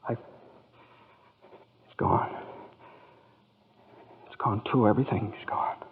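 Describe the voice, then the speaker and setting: A man's voice: fairly low pitch, fairly even intonation, drawn-out words, slightly rough, heavily acted. An actor with an American accent playing a desperate, stammering family man in 1940s radio drama style. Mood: despairing. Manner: stammering, agitated, pleading. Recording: tinny old radio broadcast.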